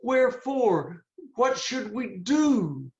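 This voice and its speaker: A man's voice: very high-pitched, sing-song, slow, a little mellow, heavily acted. A man reading the part of a brooding young prince in a Shakespeare play, grave and declamatory, over a video call. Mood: awed, pleading. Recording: roomy, slightly dull video-call audio.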